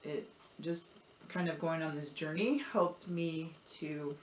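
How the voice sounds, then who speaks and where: A woman's voice: low voice, fairly even intonation, takes it slowly. A woman talking casually to viewers in an earnest, encouraging, rambling way, like a motivational vlogger. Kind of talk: casual personal talk to viewers. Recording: roomy echo, dull lo-fi audio, noisy.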